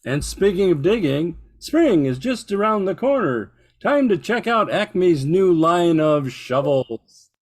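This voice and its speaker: A man's voice: high-pitched, big pitch swings, slow, heavily acted. An older man playing an intense, straightforward announcer in an old-time radio play, weighing every word. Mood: emphatic. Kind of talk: 1940s radio acting.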